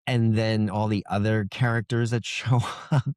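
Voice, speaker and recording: A man with a fairly low voice talks through his creative process as an author being interviewed. The sound is dry and close, with very little room in it. His delivery is conversational and explanatory. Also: level tone, moderate pace, a little mellow, smooth, no room sound.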